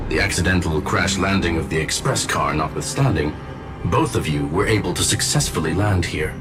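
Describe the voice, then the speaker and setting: Loud music plays under a low, composed male voice. It is a courteous, analytical machine scholar from a game story, speaking formally and with measured precision. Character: calm, courteous, analytical gentleman robot scholar. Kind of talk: scripted game story dialogue.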